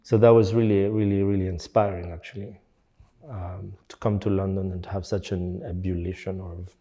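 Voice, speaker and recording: Hesitant middle-aged man, dark voice. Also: low-pitched, level tone, normal speed, lo-fi sound. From Belgium. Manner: hesitant, many fillers and restarts. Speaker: architect and teacher. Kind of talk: reflective interview answer.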